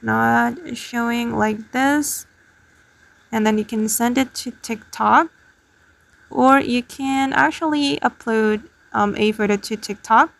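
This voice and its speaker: Girl doing a phone how-to, kinda high voice w lots of creak, talks you thru it step by step. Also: slow pace. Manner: step-by-step explaining, addresses viewers as guys. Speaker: tutorial host. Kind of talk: casual spoken screen tutorial.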